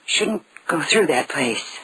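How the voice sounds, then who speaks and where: An actress playing a sensitive wife on holiday, with a husky voice, in a 1940s radio drama style. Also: female voice, low voice, animated, slow, fairly bright tone, no echo, full character voice, rough recording. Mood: uneasy. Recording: vintage radio recording.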